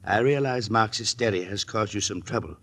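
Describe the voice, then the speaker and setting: A man's voice: on the low side, fairly quick, a bit bright. A man playing a shrewd, menacing attorney of about seventy, with a dry papery voice and formal, precise speech, in 1940s radio style. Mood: coldly threatening. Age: 70s. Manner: formal, precise, veiled threats.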